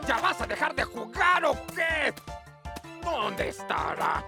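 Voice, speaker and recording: A woman's voice: high-pitched, very animated, slow pace, vocal fry, exaggerated voice. A woman voicing a mocking, scheming cartoon wolf villain in Latin American Spanish, with theatrical, taunting delivery over children's music. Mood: exasperated. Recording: loud children's music behind.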